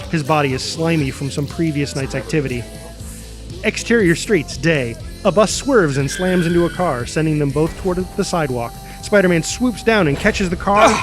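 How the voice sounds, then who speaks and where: A man reading scripted screenplay narration and stage directions dramatically, voicing the sound effects himself. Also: fairly high pitch.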